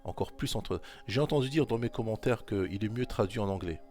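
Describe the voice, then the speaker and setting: A man's voice: lowish voice, a little fast, a little mellow, faint music behind. A man giving informal commentary on scripture, repeating his points insistently and speaking directly to the listener. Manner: insistent, repetitive exhortation; talks straight to the listener. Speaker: religious commentator.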